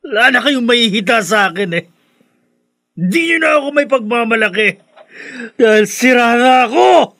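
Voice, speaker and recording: Bitter high-pitched Filipino drunkard son. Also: male voice, animated, normal speed, gravelly, pushed and bright, heavily acted. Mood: desperate, shouting. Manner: defiant, wounded. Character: broken, bitter, self-pitying drunken adult son. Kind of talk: radio drama acting.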